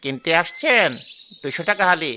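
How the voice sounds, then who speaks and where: Man voicing a greedy cartoon fruit seller, brash hawker patter, bright forceful tone, slightly high. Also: big pitch swings, moderate pace, a little hiss or hum, full character voice. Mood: scornful. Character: greedy, rude male market fruit seller. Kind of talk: cartoon voice acting.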